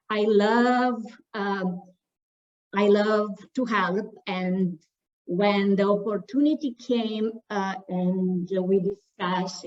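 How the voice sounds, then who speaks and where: A woman from Romania, a staff member telling her story at an online panel, halting with many fillers and restarts. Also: mid-range voice, distant mic, lo-fi sound.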